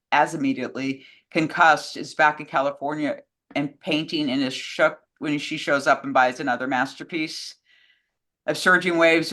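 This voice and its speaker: A woman's voice: low-pitched, fairly bright tone. A woman co-hosting a show, reading out fan questions and chatting casually about them.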